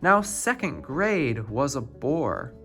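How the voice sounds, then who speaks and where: A man reading a rhyming children's storybook aloud in an expressive, theatrical storytelling style. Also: medium pitch, slow pace, gravelly, soft background music. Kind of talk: scripted rhyming picture-book narration.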